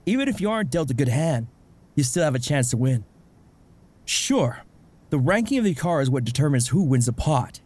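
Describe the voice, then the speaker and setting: A man playing a cheerful, easygoing middle-aged dad in a webcomic dub, jovial and expressive. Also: a little high, moderate pace. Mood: encouraging. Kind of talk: webcomic fan dub acting.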